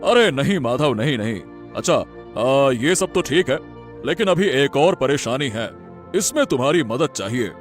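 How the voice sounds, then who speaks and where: A man playing a kindly, courteous king in a storybook drama, speaking in a gentle, deliberate, formal way. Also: medium pitch, sing-song, faint music behind. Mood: gracious, thoughtful.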